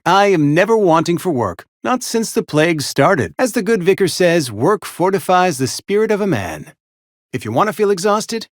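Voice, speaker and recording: Fussy, animated small-town undertaker. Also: male voice, a little high, no echo, studio quality. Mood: earnest, stoic. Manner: formal, wordy, florid, over-polite. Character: fussy, mournful, company-loyal frontier town barber and undertaker. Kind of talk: theatrical video game character acting.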